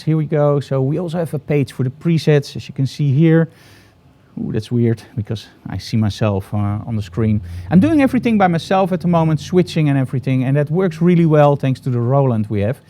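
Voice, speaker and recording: Middle-aged dutch guy hosting a live stream, mellow warm tone, keen and chatty. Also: mid-range voice. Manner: upbeat, enthusiastic, promotional. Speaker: photography host. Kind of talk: live broadcast chat. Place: photo studio.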